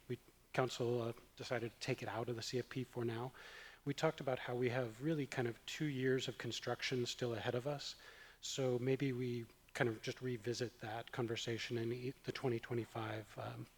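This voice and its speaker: A man giving a city report at a council meeting, speaking haltingly with many fillers and restarts. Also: medium pitch, in a large hall. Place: council chamber.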